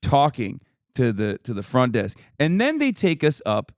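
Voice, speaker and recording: A man co-hosting a podcast, telling a travel story in an animated, joking way. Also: medium pitch, normal speed, dry recording, studio quality. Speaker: podcast co-host. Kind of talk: casual storytelling.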